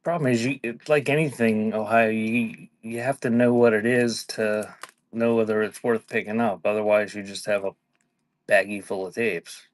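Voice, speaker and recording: A man's voice: fairly low pitch, steady tone, normal speed, no echo. A man hosting a live online auction, chatting casually in a laid-back, conversational way as he presents items. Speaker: live auction seller and host.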